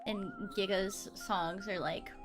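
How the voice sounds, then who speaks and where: A woman's voice: medium pitch, wildly swooping pitch, slow pace. A young woman hosting a guest on her stream, giggly and gushing in casual chat. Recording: faint music behind the voice.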